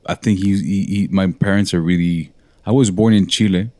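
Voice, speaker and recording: A man's voice: deep voice, fairly even intonation, moderate pace, mellow, no room sound. A man from Montreal, a guest musician, telling his story in a rambling, self-correcting way. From Montreal, Canada. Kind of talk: casual interview talk.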